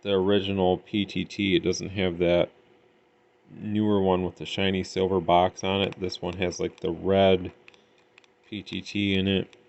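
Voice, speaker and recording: A man's voice: deep voice, gravelly, darkish tone. A man giving a casual hands-on gear demonstration, thinking aloud in an unpolished, tentative way. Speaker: CB radio hobbyist reviewing gear. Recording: dull lo-fi home recording, faint noise.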